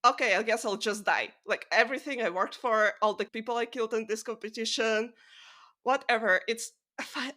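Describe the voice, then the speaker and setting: A woman's voice: medium pitch, bright, forceful tone. A woman hosting a podcast, talking casually and with strong opinions in an emphatic, exasperated manner peppered with swearing. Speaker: podcast host. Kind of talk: casual opinionated book discussion chat.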